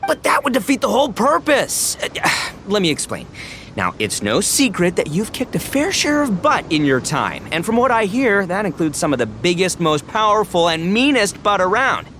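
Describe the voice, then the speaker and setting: A man's voice: sits high, very animated, moderate pace, fairly bright tone, slight background noise, full character voice. An actor playing a brash, big-hearted young male gang boss in an anime game, with swaggering, slangy bravado. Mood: fired up.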